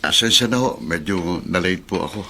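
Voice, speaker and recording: A man's voice: low-pitched, lively intonation, a little fast, bright, forceful tone, slight background noise. A man playing a humble, caring working-class taxi driver in a radio drama, deferential, hesitant and earnest. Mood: apologetic, hesitant. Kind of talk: Filipino radio drama acting.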